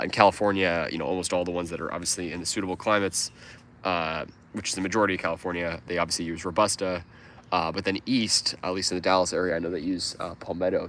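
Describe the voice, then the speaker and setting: A man's voice: deep voice, fairly bright tone, slight background noise. A man with a stuffed-up cold voice, chatting casually on a livestream, rambling with lots of fillers and restarts. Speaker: livestream host.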